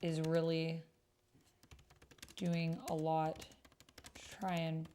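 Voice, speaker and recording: A woman's voice: low-pitched, completely flat delivery, extremely slow, dark tone, slight background noise. A woman streamer hosting a casual livestream, informal and gushing, often half talking to herself as she types and reads messages aloud. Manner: murmurs to self while typing and reading messages aloud. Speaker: streamer and host. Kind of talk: casual livestream chat while playing a text roleplay game. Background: computer keyboard typing.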